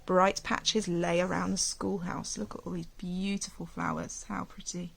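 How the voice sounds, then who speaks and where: Woman with creaky voice reading a storybook. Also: fairly low pitch, normal speed, poor audio. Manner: expressive, gently questioning. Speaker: teacher reading to young pupils. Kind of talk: storybook read-aloud with gentle asides to children.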